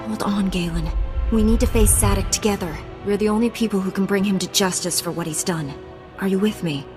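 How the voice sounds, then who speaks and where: An actress playing a principled, calm heroine knight in a video game, speaking in an earnest, measured way. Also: female voice, medium pitch, music in the background, lightly acted. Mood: earnest urgency.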